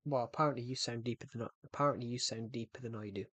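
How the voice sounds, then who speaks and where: A man rambles through a relaxed game livestream at an ordinary mid pitch, circling back over the same phrases. His voice sits close and dry on a streaming mic. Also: very fast, no echo. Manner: rambling, repeats and restarts phrases. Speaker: gaming streamer. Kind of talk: casual livestream chat while playing.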